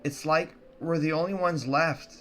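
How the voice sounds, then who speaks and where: A man's voice: on the high side, fairly even intonation, a little slow, a bit bright, soft background music, voice pushed up. A man voicing an anxious, despairing woman in a horror story. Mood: fearful. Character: anxious frightened young woman companion, despairing.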